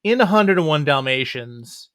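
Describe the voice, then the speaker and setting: A man's voice: a little high, animated, normal speed, fairly bright tone, clear, resonant tone, dry recording. Wry man chatting, dry close sound. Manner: wry, joking. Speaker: podcast guest, writer. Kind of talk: casual chat.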